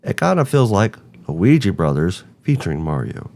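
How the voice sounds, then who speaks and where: A man's voice: sits low, sing-song, normal speed, gravelly, dark tone. A man narrating a scripted review as host, with emphatic, rhetorical phrasing. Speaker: host of a video game movie review show.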